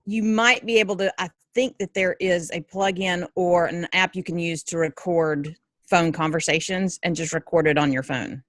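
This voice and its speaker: A woman's voice: on the low side, fairly even intonation, moderate pace, no room sound. A woman giving direct, practical advice on a panel. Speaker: panel participant. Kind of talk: casual advice. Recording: dry close audio.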